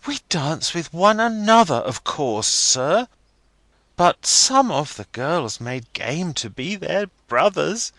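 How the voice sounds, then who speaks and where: A man voicing a playful, pouting young woman in a high, sing-song girlish voice. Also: very animated, slow pace, a bit bright, no room sound, heavily acted. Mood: playful, teasing.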